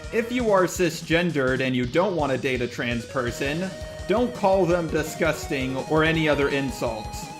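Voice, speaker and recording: A man hosting a video, giving scripted opinion commentary. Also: on the high side. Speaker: video host. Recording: music behind voice.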